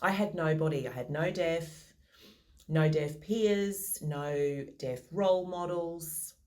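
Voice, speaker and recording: Low-voiced modest woman answering. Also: takes it slowly, a little mellow. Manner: modest, thoughtful, some restarts. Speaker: interviewee. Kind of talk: reflective interview answers. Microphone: video call.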